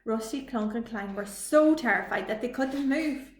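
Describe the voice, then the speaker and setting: A woman reading a children's story aloud as a library storytime reader, with expressive delivery. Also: fairly high pitch. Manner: slow, expressive read-aloud. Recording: echoey room.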